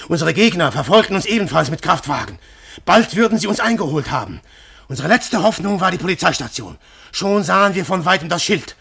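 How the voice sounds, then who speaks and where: A husky, hunted detective narrator. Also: male voice, on the high side, fairly bright tone, exaggerated voice. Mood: tense. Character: hunted male detective telling his story. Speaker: first-person narrator and detective Syme. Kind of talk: radio play narration and acting.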